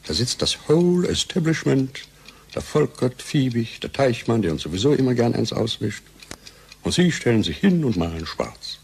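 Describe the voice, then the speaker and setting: An actor playing a weary, cynical lab scientist with a gravelly voice in a German radio drama. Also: male voice, mid-range voice, very animated, moderate pace, darkish tone, slight background noise. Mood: bitter, exasperated.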